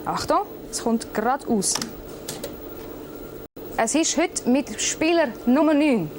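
A woman hosts a radio quiz show in a studio. Her voice sits somewhat high, and she announces and asks short questions in a brisk, announcer-like way. Music plays clearly behind her. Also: lively intonation. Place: radio studio with players present.

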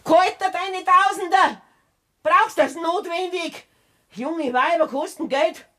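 An actress with a Bavarian accent playing a wronged, plain-spoken, middle-aged farmer's wife, worn down yet defiant, in a radio drama. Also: female voice, very high-pitched, slow pace, bright, forceful tone, exaggerated voice. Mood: bitter, defiant. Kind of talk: German dialect radio drama acting.

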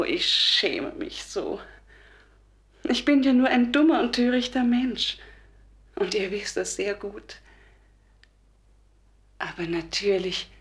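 An actress playing a meek, devout, gravely ill wife in a radio drama, solemn and fervent, halting and at times dropping towards a whisper. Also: female voice, mid-range voice, a bit bright. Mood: remorseful, pleading, then awed, fervent.

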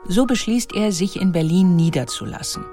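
A woman reading scripted documentary narration, articulate and deliberate, with emphatic storytelling. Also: lowish voice, sing-song, warm tone, faint music behind.

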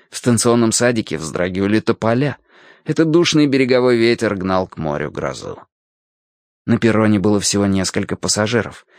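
Male narrator for children's fiction: animated pitch movement, close and dry studio sound. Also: dry recording. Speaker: audiobook narrator. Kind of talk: expressive storybook narration.

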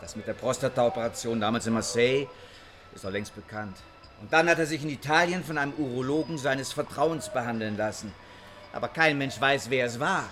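A man's voice: mid-range voice, a little fast, a bit bright, soft background music, close to an everyday voice. Actor as grumbling male surveillance cop. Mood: exasperated. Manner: gruff, complaining, colloquial. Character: grumbling, impatient male police surveillance officer. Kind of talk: radio drama acting.

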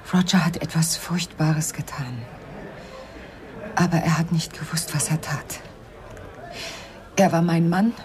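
An actress as a cool widow hiding murder in a radio crime play. Her voice sits fairly low. She speaks with tight control, often dropping to a breathy hush. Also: close to an everyday voice. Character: Mrs. Wade, cool, evasive widow and secret murderess. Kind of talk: 1990s German radio crime drama acting.

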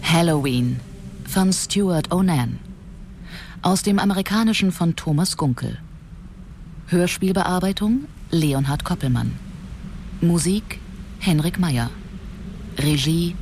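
A woman's voice: sits low, darkish tone, slight background noise. A woman reading out the credits of a radio play as a scripted announcement.

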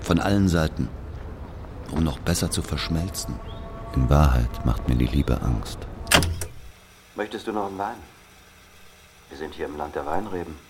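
A man's voice: very deep voice, animated, normal speed, pauses often, over music. An actor playing an aging Algerian man bitterly recounting his past in a radio drama, in a brooding, confiding manner. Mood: wistful, confiding. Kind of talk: dramatic first-person narration in German.

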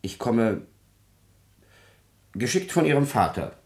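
An actor playing a cold, servile, scheming court secretary in a classic German radio tragedy, speaking in a measured, formal way. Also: male voice, mid-range voice, very animated, darkish tone. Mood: oily, guarded.

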